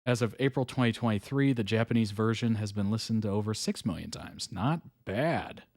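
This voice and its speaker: Relaxed low-voiced male stream host. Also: fairly quick, dry recording, studio quality. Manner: relaxed, chatty, frequent ums and soft chuckles. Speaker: host reacting to videos live. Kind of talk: casual live reaction commentary, reading chat aloud.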